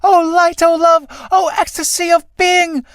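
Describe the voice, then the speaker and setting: A rapturous newborn moth. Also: female voice, extremely high pitch, flat delivery, unhurried, dry recording, studio quality. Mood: exalted. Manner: declamatory, exalted proclamation.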